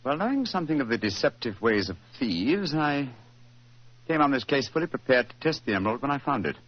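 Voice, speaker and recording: An actor playing a shrewd, unflappable private detective in a precise, formal, theatrical 1940s radio style. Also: male voice, mid-range voice, very animated, brisk. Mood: triumphant, deliberate. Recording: muffled old-time radio recording.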